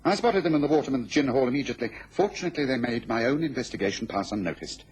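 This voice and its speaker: A self-assured British gentleman detective from an old radio play. He talks fast and with certainty, swinging widely in pitch. The voice is very husky and unclear. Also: expressive. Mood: pleased, assured. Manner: brisk, emphatic, certain. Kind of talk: radio drama acting.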